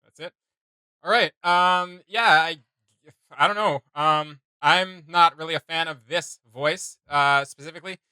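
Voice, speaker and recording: A man's voice: a little high, unhurried, bright, forceful tone, clean tone, dry recording. A man hosting a reaction video, speaking casually and hesitantly as he gropes for words.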